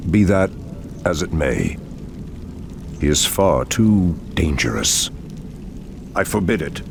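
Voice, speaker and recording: Deep, gravelly night elf druid leader. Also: male voice, very animated, slow pace, noisy background, exaggerated voice. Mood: stern, forbidding. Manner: solemn, commanding, formal archaic speech. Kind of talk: fantasy video game voice acting.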